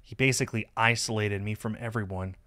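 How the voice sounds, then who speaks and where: A man reading a visual novel aloud as expressive first-person narration on a stream. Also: on the low side, a little fast.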